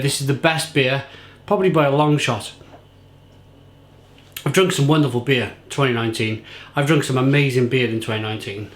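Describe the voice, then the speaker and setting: An enthusiastic man reviewing a beer to camera, rambling and repeating himself, slightly croaky. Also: mid-range voice, fairly bright tone, reverberant. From England. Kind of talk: casual tasting review to camera.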